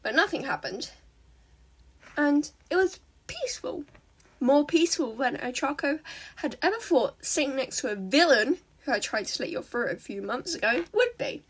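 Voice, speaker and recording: A woman reading fan fiction aloud as an expressive narrator. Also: high-pitched, normal speed, recorded from a distance.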